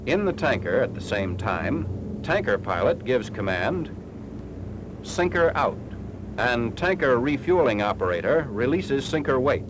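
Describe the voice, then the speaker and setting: American male, mid-pitched, formal clipped instructional read for a 1940s military training film, noisy vintage soundtrack. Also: big pitch swings, unhurried.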